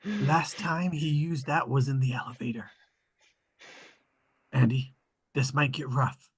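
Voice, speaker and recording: A man reading the part of an earnest, excitable young adventurer boy at a film-script table read, with a gravelly, husky voice. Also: medium pitch, measured pace. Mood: earnest explaining.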